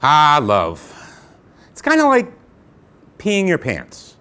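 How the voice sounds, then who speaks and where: A man's voice: fairly high pitch, huge pitch swings, takes it slowly, sharp tone, smooth. Brief: jokey male host, wildly swooping delivery. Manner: joking, playful. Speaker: show host.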